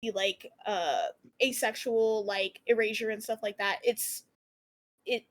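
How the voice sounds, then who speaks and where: A woman's voice: mid-range voice, a little slow. A woman streamer on a panel, talking passionately in casual chat, swearing freely and using many fillers.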